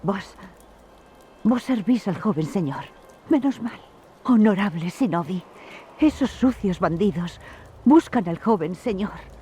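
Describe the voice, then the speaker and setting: A woman's voice: medium pitch, lively intonation, a little slow, long pauses, mellow, slight background noise, close to an everyday voice. Pleading, deferential servant played by a woman. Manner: deferential, imploring. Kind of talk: dubbed video game cutscene acting.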